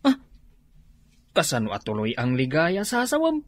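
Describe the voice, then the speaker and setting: A man's voice: a little high, wildly swooping pitch, moderate pace. A man with an Ilocano accent playing a groggy, hungover drinking buddy in a comedy radio drama, whiny and hesitant. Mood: confused, groggy. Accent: Ilocano, northern Philippines.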